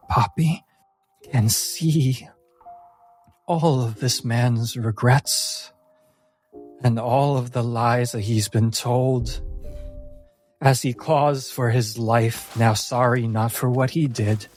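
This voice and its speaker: Slow, solemn man narrating as game master. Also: mid-range voice, a little mellow. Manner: deliberate, solemn, with dramatic pauses. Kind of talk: dramatic tabletop game narration.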